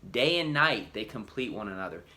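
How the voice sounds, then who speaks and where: A man's voice: on the low side, expressive, fairly quick, pushed and bright. A man preacher giving an earnest teaching talk, explaining step by step with frequent restarts and "you know".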